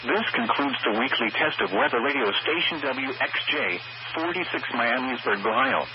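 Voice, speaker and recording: A man's voice: mid-range voice, expressive, normal speed, lo-fi sound. A man reading a scripted public service announcement as a weather radio announcer. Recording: noisy weather radio broadcast with hum.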